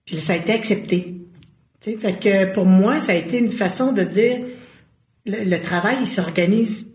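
A woman with a Québécois accent speaks as an interview guest at a middling pitch. She talks earnestly and casually, pausing to find her words. Her voice rings with a roomy echo. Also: a little mellow. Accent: Québécois French.

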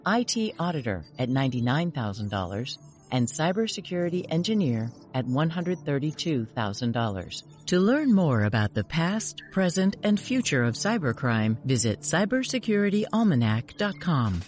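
A woman's voice: low voice, very animated, normal speed, darkish tone. A woman reading a scripted podcast segment as an announcer. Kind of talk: scripted narration. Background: music.

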